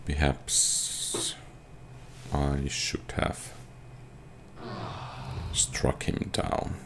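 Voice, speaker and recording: A man with an extremely low, heavily creaky voice comments slowly on a game. His delivery is casual and muttered, with hesitant pauses and game music behind him. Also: monotone, noisy background. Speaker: gaming YouTuber. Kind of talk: casual, low-key let's play commentary.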